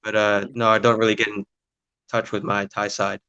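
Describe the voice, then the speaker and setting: A man's voice: lowish voice, level tone, normal speed, pushed and bright, clear, resonant tone, dry recording, muffled recording. A nineteen-year-old man answering interview questions casually and hesitantly, with lots of filler sounds. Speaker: podcast guest, young footballer.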